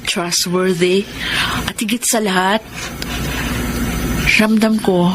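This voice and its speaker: A woman's voice: medium pitch, steady tone, slow, heavy background noise, close to an everyday voice. A woman with a Filipino accent acting a sweet, guarded young woman with a troubled past in a radio drama. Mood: tender, grateful.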